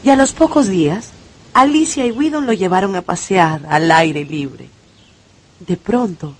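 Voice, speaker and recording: An actress narrating an adventure novel as a storyteller in a dramatized radio audiobook. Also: female voice, fairly high pitch, heavy background noise.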